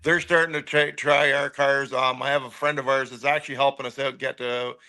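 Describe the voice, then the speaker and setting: Bright-voiced, opinionated middle-aged man. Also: mid-range voice, flat delivery, fairly quick. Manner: opinionated, self-deprecating. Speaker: guest, race car crew member. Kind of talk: casual interview chat about racing.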